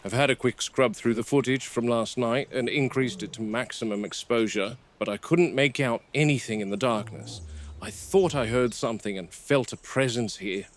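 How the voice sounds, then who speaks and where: A man playing a seasoned, watchful game warden, recording an analytical field log in measured, clipped observations. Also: medium pitch, natural voice. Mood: curious, wary. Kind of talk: found-footage field log monologue. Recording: noisy VHS-style found-footage audio.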